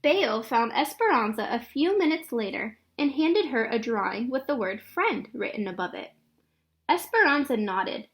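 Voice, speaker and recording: A woman narrates a children's storybook aloud. Her voice sits high and moves through a lively, wide range of pitch, in an expressive read-aloud style. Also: moderate pace.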